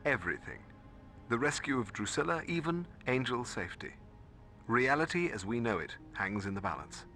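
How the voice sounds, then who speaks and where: A scholarly mentor in a video game, formal and measured. His lowish voice is gravelly with fry, yet bright and forceful in tone, over a faint musical bed. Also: very animated, faint music behind.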